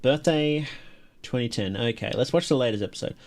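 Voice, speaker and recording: Guy streaming reactions to videos, chatty and into it, repeats himself for emphasis, medium pitch. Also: brisk, a little mellow. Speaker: livestream host reacting to videos. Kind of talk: casual live reaction commentary.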